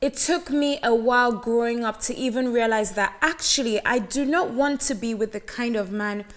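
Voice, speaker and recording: Host, woman from Nigeria, slightly high voice, agreeable casual interviewer. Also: moderate pace. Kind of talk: scripted intro then casual chat.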